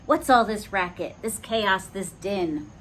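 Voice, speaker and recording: A woman voicing a stern mother monkey who scolds her children in a slow, deliberate way. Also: medium pitch, a bit bright, slight background noise.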